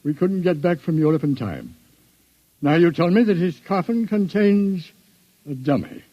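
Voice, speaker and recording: Rich, distrustful grieving man, radio drama, higher pitch with big swings, dull dry vintage recording. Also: very animated, normal speed, no room sound. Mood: suspicious, pained. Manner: brusque, suspicious. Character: wealthy, distrustful grieving father. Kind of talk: 1950s radio drama acting.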